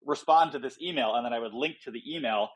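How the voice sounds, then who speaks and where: Husky-voiced man explaining his product. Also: mid-range voice, quick pace. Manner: informal, explanatory, acts out imagined quotes. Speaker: software company founder, podcast guest. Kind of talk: conversational interview answers, explaining a product.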